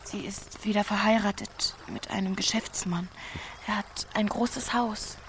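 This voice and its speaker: A woman's voice: medium pitch, steady tone, unhurried, a bit bright. Radio play, teenage village girl, forward and blunt, some ambient noise behind. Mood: casual, boastful. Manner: blunt, provocative. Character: seventeen-year-old village girl, forward, defiant, blunt. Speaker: radio drama actress. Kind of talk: radio play acting in standard German.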